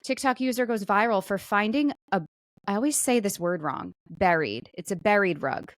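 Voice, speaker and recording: Woman talk show co-host, mid pitch, super dry sound, chatty storytelling, casual. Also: expressive, normal speed, no room sound. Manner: conversational storytelling with quoted asides. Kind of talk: casual chat, retelling a viral story.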